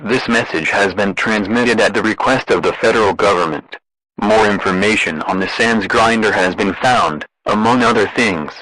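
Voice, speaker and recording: A man's voice: lowish voice, normal speed, bright, forceful tone, dry recording, poor audio. A man delivering a scripted emergency broadcast as an announcer, in a formal, impersonal way.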